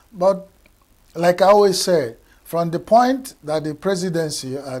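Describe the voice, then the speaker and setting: A man with a Nigerian accent and Igbo as his first language, giving measured political commentary with frequent pauses and fillers. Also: on the high side, a little mellow. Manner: measured, halting, many fillers and restarts.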